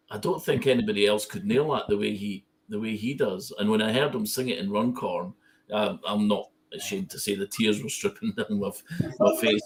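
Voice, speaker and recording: Low-voiced man, hesitant and sentimental. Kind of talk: casual fan discussion.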